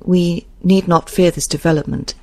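Woman reader as grave period gentleman, measured, hesitant, husky, gravelly edge, audiobook drama. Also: on the low side, animated, no room sound, heavily acted. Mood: calm, measured. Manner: slow, measured, halting.

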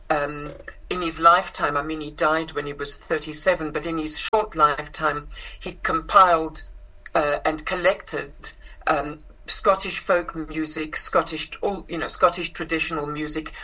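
South african woman chatting as a guest, bright forward voice, bit hesitant, fixes her words as she goes. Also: deep voice, rough recording. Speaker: interview guest, singer and actress. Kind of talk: conversational interview, explaining a stage show.

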